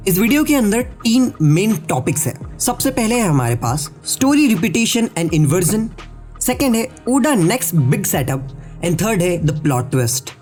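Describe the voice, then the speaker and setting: A man's voice: high-pitched, big pitch swings, measured pace, darkish tone, faint music behind. Chatty man with a stuffy nose. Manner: chatty, addresses viewers directly, mixes in English words. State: sore throat and blocked nose. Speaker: anime theory YouTuber. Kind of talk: casual explainer voice-over. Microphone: voice-over recorded separately.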